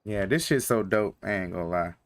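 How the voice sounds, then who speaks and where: A man's voice: low-pitched, brisk. Young male streamer from North Carolina; loose, filler-heavy slangy chat to viewers. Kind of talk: casual live-stream chat.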